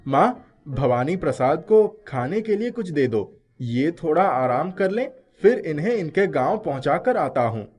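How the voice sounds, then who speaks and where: Kind village schoolboy voiced by a man: slightly high voice, earnest, courteous, expressive, animated story. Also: moderate pace. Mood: polite, helpful. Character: kind, earnest schoolboy, humble and easily flustered. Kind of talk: animated moral story character dialogue.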